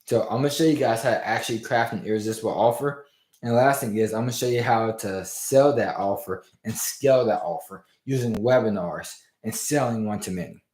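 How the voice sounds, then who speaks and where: A man's voice: on the low side, brisk. Male business coach, livestream host, casual hype delivery, slightly strained voice, roomy reverb. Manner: energetic and informal, addresses viewers as "y'all" and "fam". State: strained voice after losing it. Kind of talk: casual motivational livestream talk. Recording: roomy echo.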